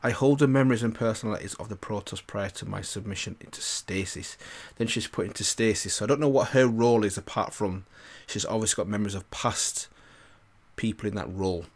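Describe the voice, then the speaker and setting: A man with a British accent and a fairly low voice talks casually as a reaction host. He is tentative, working things out aloud as he comments. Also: a little fast.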